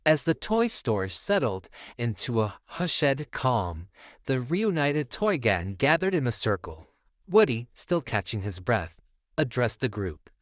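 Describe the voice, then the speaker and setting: A man reading a scripted bedtime story as narrator, in a measured, theatrical manner. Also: medium pitch, very animated, no echo.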